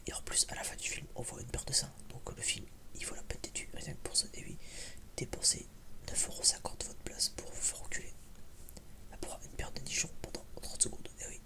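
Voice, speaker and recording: A man whispering in a breathy, almost unvoiced voice, chatting casually and hesitantly in a rambling, blunt, slangy ASMR style. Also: a little fast, low-quality recording. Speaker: ASMR YouTuber, film reviewer.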